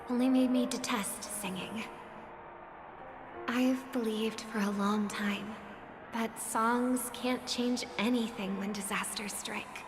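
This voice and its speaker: Gentle, idealistic young songstress for a game: higher-pitched, measured, reverberant, over music. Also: female voice. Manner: measured, soft-spoken, earnest. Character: gentle, earnest young female singer, idealistic and resolute. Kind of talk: video game voice acting. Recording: long reverb, music under the voice. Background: background music.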